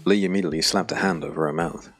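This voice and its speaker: A man narrating a scripted dark fantasy audiobook with a deep, gravelly voice. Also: fairly even intonation, racing, faint music behind.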